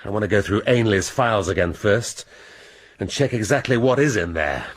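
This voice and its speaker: A man's voice: fairly low pitch, lively intonation, moderate pace, slightly rough. An actor playing a brusque, cerebral senior male detective who talks in a dry, formal, wordy way. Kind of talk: radio drama acting.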